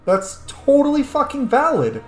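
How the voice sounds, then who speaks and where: A man's voice: very high voice, huge pitch swings, unhurried, soft background music. A man giving casual, emotionally involved commentary as he plays a role-playing video game. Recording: roomy echo, faint background noise.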